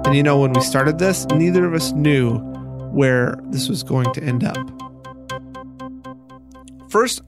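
A man hosting a podcast, reading scripted narration in a measured way with deliberate pauses and emphasis. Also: fairly high pitch. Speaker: podcast host and interviewer. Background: music.

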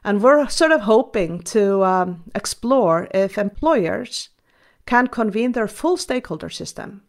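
A woman talks through her answers in a steady, mid-pitched voice. She is earnest and measured, pausing on ums and starting over now and then. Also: vocal fry. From Iceland. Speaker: guest. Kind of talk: reflective interview answers.

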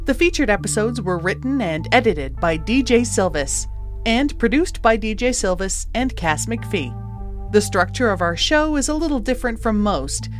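A woman's voice: fairly high pitch, animated, normal speed. A woman reading scripted podcast credits as an announcer. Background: faint music.

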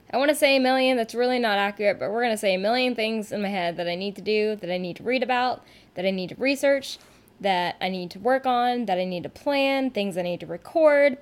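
A woman hosting her own solo podcast from her couch at home, talking in a chatty, rambling, candid way and often poking fun at herself. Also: fairly high pitch. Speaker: podcast host and rock musician. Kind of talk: casual solo podcast monologue.

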